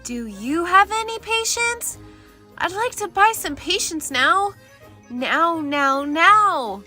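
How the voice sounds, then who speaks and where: Woman voicing a high-pitched, bratty little frog. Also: big pitch swings, slow pace, pushed and bright, faint music behind, exaggerated voice. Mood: impatient, defiant. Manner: whiny, insistent, demanding. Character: impatient demanding little boy frog, shouting for everything now.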